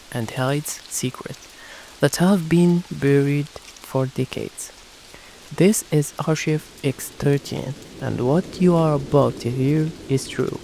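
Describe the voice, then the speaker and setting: Slow, gravelly horror narrator. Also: medium pitch, darkish tone, a little hiss or hum, low-quality recording. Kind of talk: scripted first-person horror narration.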